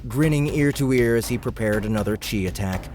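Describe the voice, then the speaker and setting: A man narrating a game-world audiobook in a steady, measured storytelling voice. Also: mid-range voice. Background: background music.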